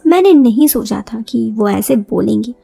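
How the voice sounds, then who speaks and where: Woman reading out a story, conversational, creaky voice, super dry close recording. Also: on the high side, expressive, normal speed, mellow, dry recording. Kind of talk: first-person Hindi story narration with acted dialogue.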